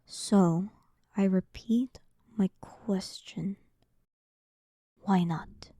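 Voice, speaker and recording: A woman voicing a dominant, sarcastic demon queen in a roleplay audio, soft and breathy, with a teasing, condescending manner. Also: medium pitch, extremely slow, dry recording, clean studio sound, exaggerated voice. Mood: coolly matter-of-fact. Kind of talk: scripted roleplay audio, intimate one-sided dialogue.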